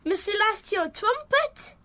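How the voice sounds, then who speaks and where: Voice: very high voice, sing-song, a little slow, pushed and bright, a little hiss or hum, exaggerated voice. A performer voicing an earnest, dreamy young boy with a Northern English accent in a radio drama, innocent and insistent. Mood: defensive. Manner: innocent, insistent, matter-of-fact. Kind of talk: 1990s radio drama acting.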